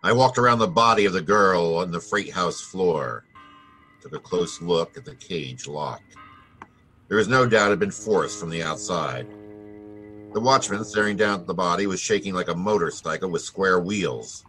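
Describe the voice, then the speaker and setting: An actor playing a tough, wry private detective with clipped, wisecracking delivery, in 1940s radio noir style. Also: male voice, sits low, normal speed, sharp tone, soft background music, poor audio. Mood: wry, matter-of-fact.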